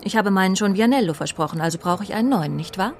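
An actress playing a cool, clever police secretary in a radio crime drama, poised and slightly sly. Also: female voice, medium pitch, expressive, fast, slight background noise, close to an everyday voice. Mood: breezy, sly. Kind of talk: natural radio play acting.

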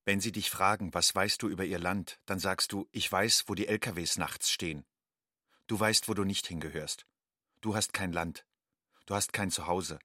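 A man's voice: deep voice, steady tone, normal speed, bright, forceful tone, no room sound, clean studio sound. An actor playing a wary, rootless migrant man, delivering a matter-of-fact audio drama monologue in clipped sentences. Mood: wary, stark.

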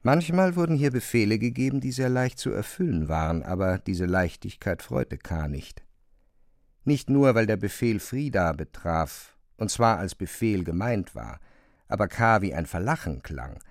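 A man's voice: fairly low pitch, no room sound, studio quality. A man narrating a literary radio drama as a scripted storyteller.

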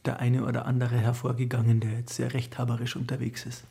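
Husky, creaky man asking interview questions. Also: mid-range voice, monotone, quick pace, mellow. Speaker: radio interviewer.

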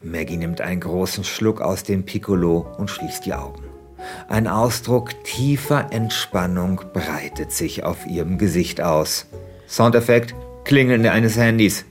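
A man's voice: sits low, measured pace, soft background music. A man hosting a podcast, switching between reading a script aloud and casual chat. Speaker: podcast host.

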